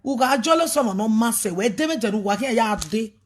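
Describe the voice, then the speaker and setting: A woman's voice: on the high side, lively intonation, fairly quick. A woman, a ministry leader talking on a live video, preachy and emphatic, mixing languages. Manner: mixes pidgin, English and a local language, preachy and emphatic. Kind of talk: animated livestream talk.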